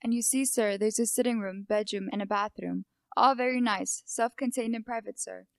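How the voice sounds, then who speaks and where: High-voiced obliging renting agent played by a young woman. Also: fairly even intonation, moderate pace, vocal fry, no room sound, clean studio sound. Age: teenager. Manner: deferential, sales-minded. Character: polite, obliging renting agent showing a flat. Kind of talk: amateur radio play acting.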